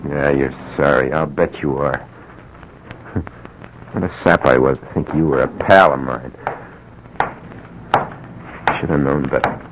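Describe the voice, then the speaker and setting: An actor playing a desperate working-class American locksmith in an old-time radio drama, blunt and urgent. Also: male voice, very deep voice, steady tone, slow, pauses often, lo-fi sound, noisy background. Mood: bitter. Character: desperate working-class locksmith man begging for money, bitter.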